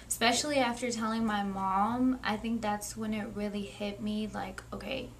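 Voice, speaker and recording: A woman's voice: mid-range voice, fairly even intonation, normal speed, slight background noise. A 21-year-old woman speaking earnestly and plainly as a young mother sharing her story. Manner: earnest, plain-spoken, reflective. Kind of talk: candid personal testimony.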